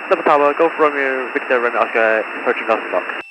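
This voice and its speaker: A man's voice: mid-range voice, quick pace, muffled recording. A man speaking as a pilot, making clipped calls over an aircraft radio. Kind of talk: clipped aviation radio phraseology. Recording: noisy distant aircraft radio transmission.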